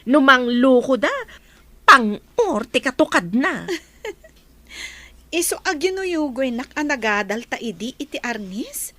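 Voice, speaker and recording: Feisty young villager, radio comedy drama, high voice, sassy, teasing. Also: female voice, very animated, slow pace, pauses often, sharp tone, no room sound, exaggerated voice.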